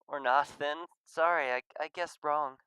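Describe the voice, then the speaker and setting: A man's voice: on the high side, fairly even intonation, unhurried, sharp tone, clean tone, no echo, low-quality recording. A man voicing a young male bird, easygoing, teasing and a little sheepish, in casual banter from a visual novel. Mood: flustered, sheepish. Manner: casual, bantering, stumbles when flustered. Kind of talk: visual novel character read-aloud.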